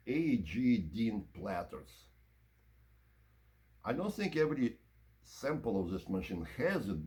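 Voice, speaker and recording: A man presenting an informal product review and demonstration, explaining in measured, short broken phrases. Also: medium pitch, darkish tone. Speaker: presenter of audio equipment review.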